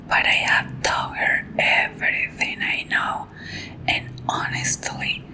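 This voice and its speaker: A woman with a Colombian Spanish accent, a native Spanish speaker, voicing an earnest middle-aged housekeeper in soft whispers. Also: lightly acted, low-quality recording.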